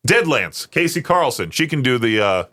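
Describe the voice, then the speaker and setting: A man hosts a casual radio hour, joking as he reads things out. His voice sits at an ordinary pitch, and the studio mic is close and dry, with no room sound at all. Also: very animated, normal speed, sharp tone, dry recording. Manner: joking, reads listener prompts aloud. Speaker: radio host.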